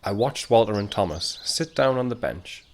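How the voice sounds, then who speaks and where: A man's voice: on the low side, animated, moderate pace, noisy background, lightly acted. A man playing a wistful narrator who looks back reflectively in a scripted audio drama. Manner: reflective storytelling.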